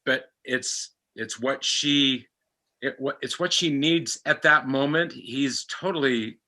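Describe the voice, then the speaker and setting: A man with a very bright, sharp voice at a middling pitch talks through his thoughts. He is earnest and halting, often circling back to repeat a phrase. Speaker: actor and podcast panelist. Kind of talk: casual reflective discussion.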